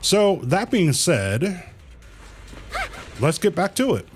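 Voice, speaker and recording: Male streamer, casual reaction style, hugely varied pitch, slow-paced, faint music behind. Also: very animated. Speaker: game livestreamer. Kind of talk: casual live gameplay reaction.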